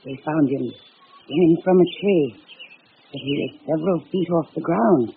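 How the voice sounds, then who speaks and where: An actress playing a folksy, gossipy neighbor woman with a halting manner in a vintage radio thriller, on a tinny, noisy recording. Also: deep voice, creaky. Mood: uneasy, confiding.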